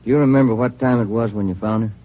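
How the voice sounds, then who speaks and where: An actor playing a weary detective partner who grumbles and cracks dry jokes in a 1950s radio drama. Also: male voice, mid-range voice, fairly even intonation, racing, natural voice. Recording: muffled vintage radio broadcast.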